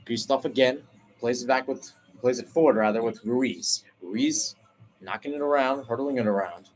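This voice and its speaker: A man doing radio play-by-play for a soccer match, talking steadily through the passing and breaking off to explain tactics, then jumping up in pitch when a chance opens. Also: darkish tone, a little hiss or hum, rough recording. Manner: brisk flowing call, rising sharply on chances; self-correcting, explains tactics between plays. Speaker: play-by-play commentator.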